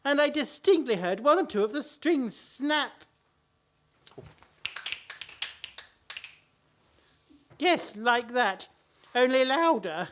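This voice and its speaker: A henpecked older father-in-law from a comic radio play, acted out by a performer, timid but genial. The voice sits high and swings about animatedly, with fussy, hesitant, old-fashioned courtesy. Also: animated, unhurried, background noise. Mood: rueful. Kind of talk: stage-comedy radio play acting.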